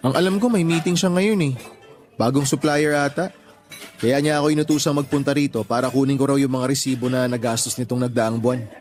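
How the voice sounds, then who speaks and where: A man's voice: medium pitch, steady tone, a little fast, darkish tone, soft background music, natural voice. A man acting in a radio drama as a supportive, easygoing young boyfriend, casual and concerned. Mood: casual, helpful.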